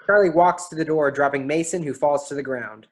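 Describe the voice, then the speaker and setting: A stage directions reader at a staged play reading. The voice sits at a medium pitch and delivers scripted narration of the characters' movements. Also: fairly quick.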